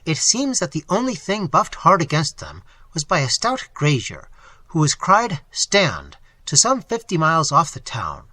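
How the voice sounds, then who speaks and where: Man as eager robber sidekick, deferential, bright husky voice. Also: fairly high pitch, wildly swooping pitch, unhurried, no room sound, exaggerated voice. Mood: earnest. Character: admiring young highwayman follower, eager.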